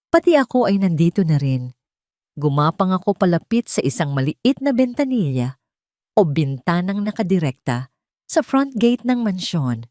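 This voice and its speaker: A woman with a Filipino accent narrating a scripted first-person story in a measured, dramatic manner. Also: on the low side, dark tone, dry recording, studio quality.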